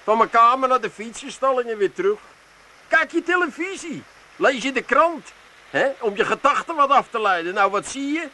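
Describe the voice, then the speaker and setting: A man's voice: very high-pitched, animated, moderate pace, sharp tone, exaggerated voice. An actor playing a gloomy, anxious man who laments the state of the world in a comic radio play. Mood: gloomy, plaintive. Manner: plaintive, indignant lamenting. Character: gloomy, anxious, fretful doom-mongering brother-in-law.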